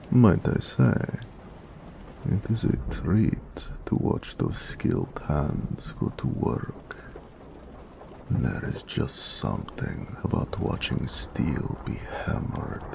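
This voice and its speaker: Man playing a deep, gravelly, slow orc blacksmith. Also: huge pitch swings, background noise, exaggerated voice. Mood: warm, contented. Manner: affectionate, playfully sarcastic, unhurried. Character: gentle, teasing male orc blacksmith, doting lover. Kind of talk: romantic audio roleplay addressed to the listener.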